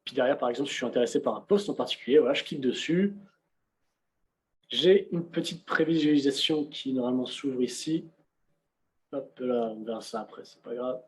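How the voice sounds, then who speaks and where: A young man giving a casual on-screen software walkthrough, talking conversationally with frequent fillers and restarts, recorded on a computer mic. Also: mid-range voice, fairly quick, poor audio. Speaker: presenter of a software demonstration. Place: indoors at a computer.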